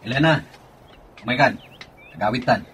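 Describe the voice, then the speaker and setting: Bright, forceful actor as an attentive man. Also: mid-range voice, moderate pace, breathy edge. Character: attentive man driving a woman out for a snack. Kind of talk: Ilocano radio drama acting.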